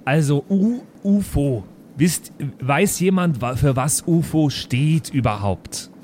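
Man playing a hesitant, higher-voiced schoolteacher. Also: expressive, slow, darkish tone. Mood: explaining, hesitant. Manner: hesitant, explanatory, questioning. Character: improvising male schoolteacher, patient but muddled. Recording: faint background noise.

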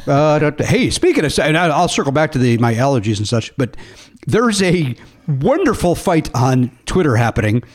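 Male podcast host, profane, joking, lively pitch. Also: normal speed. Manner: jokey, profane, mock radio-DJ patter. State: says he is losing his voice. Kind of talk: casual comedic banter.

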